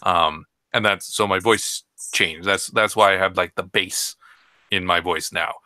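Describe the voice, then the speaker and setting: Bright-toned man rambling in casual chat. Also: deep voice, fairly even intonation, measured pace, no echo. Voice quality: trained voice, speaks from the throat. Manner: rambling, hesitant, many fillers.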